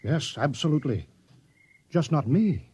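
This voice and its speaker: Husky, bumbling small-town mayor. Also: male voice, fairly high pitch, very animated, measured pace, dark tone, exaggerated voice. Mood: earnest, reassuring. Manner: flustered, stammering, repeating himself. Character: bumbling, amiable small-town mayor made a mock general. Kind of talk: old-time radio drama acting. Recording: muffled old radio recording.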